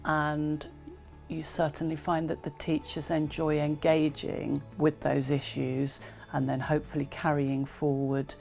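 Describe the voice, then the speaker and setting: A woman's voice: deep voice, monotone, measured pace, vocal fry, a little mellow. A woman describing her work as an education project worker, in the manner of an interview for an organisation's film. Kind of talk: interview-style account of work for a promotional film. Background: background music.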